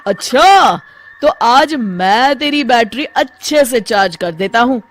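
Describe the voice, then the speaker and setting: A woman's voice: on the high side, expressive, slow pace, a little hiss or hum. A woman voicing an indignant fat village husband in a cartoon story, scolding and exclaiming.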